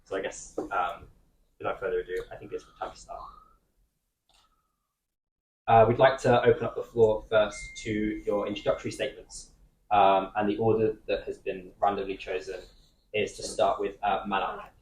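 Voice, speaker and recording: A young man moderating a student debate, explaining the format quickly with some fillers. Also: on the low side, fairly even intonation, echoey room, slight background noise, rough recording. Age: young adult. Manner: quick, slightly halting with fillers.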